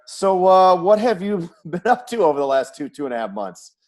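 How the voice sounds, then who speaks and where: A man's voice: high-pitched, big pitch swings, normal speed. An American man working as a sports broadcaster, hosting an interview show with introductions and questions.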